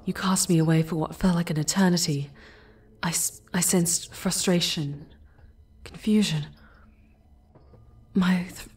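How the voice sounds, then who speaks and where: A woman's voice: on the low side, fairly even intonation, a little slow, pauses often, darkish tone. A woman playing an anxious demon seductress in an ASMR audio drama, hesitant and pleading, tender toward her companion. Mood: hurt, confused. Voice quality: breathy, often dropping to a whisper. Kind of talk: ASMR audio roleplay acting.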